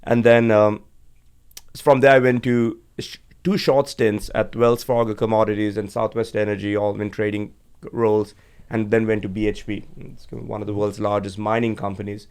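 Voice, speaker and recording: A man in his forties, originally from Sri Lanka, speaks as a podcast guest in a low, steady register. His voice is smooth and resonant, with little rise and fall. He tells his story in a measured way, pausing on frequent fillers. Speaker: guest, energy executive. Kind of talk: conversational interview. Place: podcast studio.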